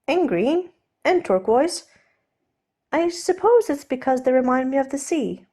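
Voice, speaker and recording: A fussily polite gentleman sailor , acted out by a woman narrator, chattering in a lifted, nervous storybook voice. He is hesitant and flustered, babbling on with careful manners. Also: high voice, sing-song, a little slow, voice pushed up. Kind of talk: audiobook character voice.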